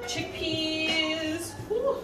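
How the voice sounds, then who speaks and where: A woman's voice: very high-pitched, extremely slow. A young adult woman giving a casual, informal cooking demonstration as a home cook in her kitchen. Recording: roomy echo, distant mic, background music. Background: music.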